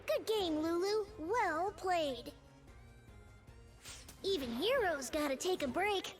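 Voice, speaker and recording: A woman's voice: very high voice, expressive, slow, exaggerated voice. A woman voicing a small, cheerful, innocent furry scout in a video game, earnest, polite and childlike. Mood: friendly. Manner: earnest, chirpy, polite, eager. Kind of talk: video game character voice lines. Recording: music bed under the voice. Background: music.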